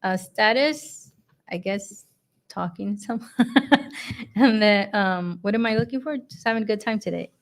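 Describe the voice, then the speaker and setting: A woman in her forties, a guest on a casual podcast, talks in a chatty way and giggles between her words. Her voice has an ordinary middle pitch. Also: unhurried. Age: 40s.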